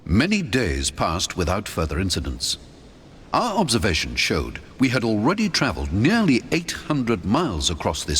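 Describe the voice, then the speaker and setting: A man's voice: fairly low pitch, a bit bright, a little hiss or hum. A man narrating an adventure story in a dramatic, theatrical scripted style.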